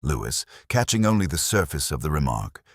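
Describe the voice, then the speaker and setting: A man playing a reflective, observant police inspector who narrates a detective audio drama in a measured, literary, brooding manner. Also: rumbling low, sing-song, breathy edge, no room sound, clean studio sound, full character voice. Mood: pensive, measured.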